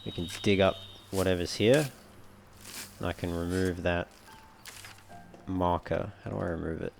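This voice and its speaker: Man, deep voice, creaky fry, casual let's play commentary, musing aloud, faint music behind. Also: steady tone, unhurried. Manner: low-key, musing aloud as he plays.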